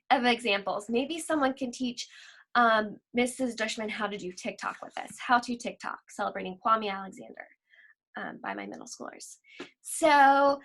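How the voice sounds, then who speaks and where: A woman teaching a lesson for children, explaining simply and reading aloud deliberately, like a school library teacher. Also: fairly high pitch, fairly bright tone.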